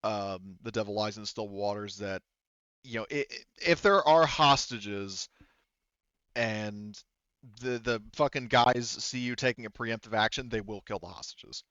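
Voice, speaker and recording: A man's voice: lowish voice, a little slow, a bit bright, clean tone, no room sound, dull audio. A man speaking casually and emphatically as game master, explaining options to players in a tabletop roleplaying game.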